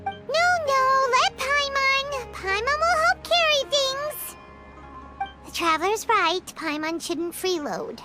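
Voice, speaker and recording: A woman voicing a tiny, cheeky, childlike fairy companion in a video game, squeaky and speaking of herself in the third person. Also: expressive, takes it slowly, exaggerated voice. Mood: determined. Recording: background music throughout.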